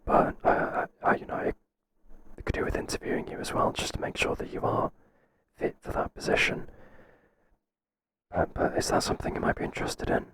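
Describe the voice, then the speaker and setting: A man playing a hesitant, flattering boss in a whispered ASMR roleplay, speaking softly and breathily in a halting, confiding way. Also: background noise, close to an everyday voice. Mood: tentative, admiring. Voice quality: soft, breathy, mostly whispered.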